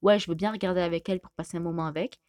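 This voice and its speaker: A woman's voice: fairly low pitch, fairly even intonation, rapid-fire, a little mellow. A young woman co-hosting a casual chat about couples, teasing her partner and laughing often. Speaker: co-host.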